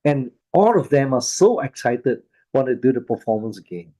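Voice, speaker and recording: A man with a Malaysian accent speaks as a volunteer at an informal talk, sharing personal anecdotes. His voice is mellow and relaxed, at a middling pitch. His phrasing is halting and often circles back to repeat itself. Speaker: volunteer speaker at an online Buddhist charity talk.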